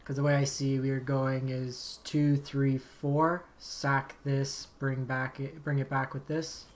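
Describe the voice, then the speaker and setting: Slightly raspy man streaming a game. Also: little pitch movement, slow. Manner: thinking aloud, low-key, muttered asides. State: slightly raspy from long talking. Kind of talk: casual gameplay commentary. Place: home streaming setup.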